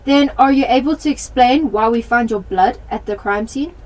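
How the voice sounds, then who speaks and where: A woman's voice: fairly high pitch, moderate pace, lightly acted. A teenage woman playing a blunt, pressing police detective in an amateur radio play. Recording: light background noise.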